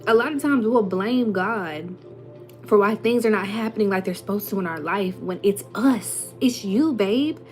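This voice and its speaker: A young woman giving a casual, emphatic motivational talk to her viewers, full of slang and little acted-out voices, with soft music playing underneath. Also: mid-range voice, normal speed. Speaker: spiritual content creator.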